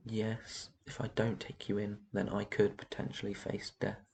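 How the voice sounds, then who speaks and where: A man playing a very old, protective vampire master in an intimate roleplay ASMR, speaking gently and reassuringly with tender endearments. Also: fairly low pitch, completely flat delivery, normal speed, vocal fry, breathy edge. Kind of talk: roleplay ASMR, intimate one-sided dialogue.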